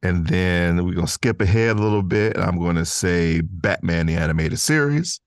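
A man's voice: low voice, moderate pace, dry recording, studio quality. An American man talking casually as a guest on a podcast. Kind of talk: casual chat.

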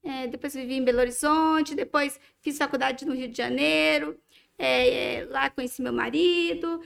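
A woman's voice: high-pitched, fairly even intonation, moderate pace, vocal fry. A woman dermatologist with a mixed Brazilian Portuguese accent, chatting on a talk show and often hesitating with fillers. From Minas Gerais, Brazil. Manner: hesitant, many fillers and restarts. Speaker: dermatologist guest. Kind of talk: casual talk-show chat.

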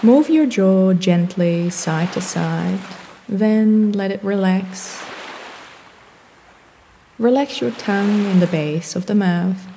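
Very slow, mellow woman guiding meditation. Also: mid-range voice, a little hiss or hum. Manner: calm, gentle, soothing, measured. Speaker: meditation guide. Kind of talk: guided meditation, scripted soothing instruction. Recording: long reverb, background wash of waves. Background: relaxing ocean waves.

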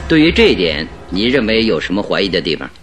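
An actor in a radio drama playing a probing, methodical male detective with a deliberate, inquisitive delivery. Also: low-pitched, very animated, fairly bright tone, faint music behind. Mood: pressing, intent. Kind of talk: old Chinese radio drama acting.

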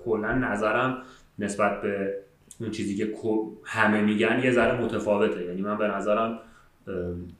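Roomy, echoing recording of a man with a fairly low voice. He has an Iranian Persian accent with English words mixed in. As a podcast co-host he chats casually, thinking aloud and rephrasing his opinions. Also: fairly even intonation, a bit bright. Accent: Iranian Persian with English loanwords. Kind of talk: casual chat, giving opinions.

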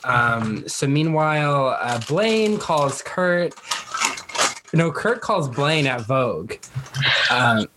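A man's voice: fairly high pitch, expressive, slow, noisy background. A man co-hosting a podcast, retelling a TV show's story in casual conversation. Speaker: podcast co-host. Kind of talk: casual chat recapping a TV show.

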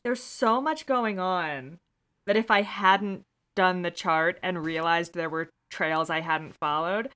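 A woman's voice: fairly low pitch, slow, bright, forceful tone, clean tone. A woman co-hosting a casual podcast chat, talking in a playful, irreverent, unfiltered way. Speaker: podcast co-host.

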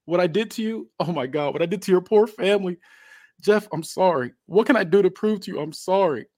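A man's voice: mid-range voice, lively intonation, brisk, dry recording, lightly acted. A cocky, sadistic wrestling villain, acted out by a man. He delivers his promo theatrically, with a taunting, domineering manner. The sound is close and dry. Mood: tearful false remorse.

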